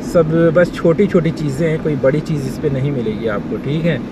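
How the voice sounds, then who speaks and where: A man's voice: a little high, a little fast. A man vlogging as he drives through the city, giving a casual running commentary and pointing out roads and shops to the viewer. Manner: chatty, keeps saying "ṭhīk hai" and pointing out sights. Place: inside a car on a city road. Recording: distant mic with loud car and road noise.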